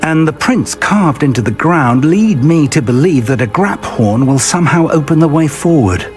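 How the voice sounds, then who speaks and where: An actor playing an earnest middle-aged wizard professor and mentor in a video game, speaking in a deliberate, articulate, scholarly way. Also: male voice, fairly high pitch, lively intonation, natural voice. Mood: earnest, guiding. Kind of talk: dramatic video game voice acting. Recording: music playing behind the voice. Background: game music.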